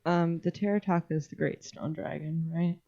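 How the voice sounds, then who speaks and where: A woman's voice: lowish voice, steady tone, normal speed, creaky, dark tone, lo-fi sound. A woman co-hosting a podcast in casual, joking discussion. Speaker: podcast co-host.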